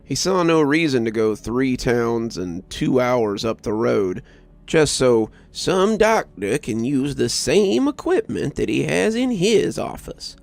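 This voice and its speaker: A man reading a scripted first-person story as a narrator, in a measured, expressive storytelling manner. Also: mid-range voice, creaky.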